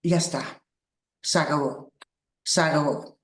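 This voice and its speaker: Slow, reflective woman, Spanish. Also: low-pitched, steady tone. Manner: slow, halting, reflective, with small laughs. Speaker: workshop teacher. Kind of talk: spiritual workshop talk. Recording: roomy echo.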